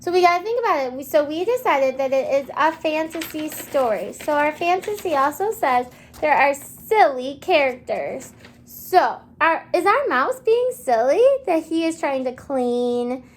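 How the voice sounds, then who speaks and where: A woman kindergarten teacher giving an interactive read-aloud, speaking expressively and encouragingly in simple phrases, with questions and pauses for children to answer. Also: sits high, sing-song.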